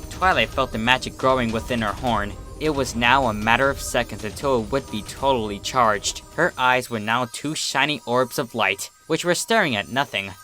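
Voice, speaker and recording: A man reading a story as narrator, in an expressive, dramatic scripted style. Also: medium pitch. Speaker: fan fiction narrator.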